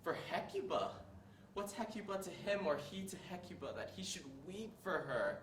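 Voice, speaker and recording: A man's voice: sits high, expressive, normal speed. A teenage boy playing a tormented young prince, reciting a stage monologue that swings from brooding to raging, recorded from a distance in an echoey hall. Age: teens. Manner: builds from brooding quiet to raging shouts. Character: tormented young prince, bitter and self-reproaching. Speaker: student in a monologue contest. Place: school hall. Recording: echoey room, distant mic, faint hiss.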